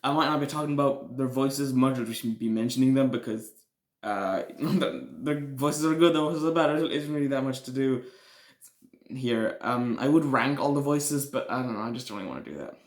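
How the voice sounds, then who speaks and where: A man with a middle-pitched voice talks through his rankings like a casual online host. His delivery is loose and unpolished, opinionated yet hesitant, wandering as he goes. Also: moderate pace. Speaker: video host ranking game voice actors.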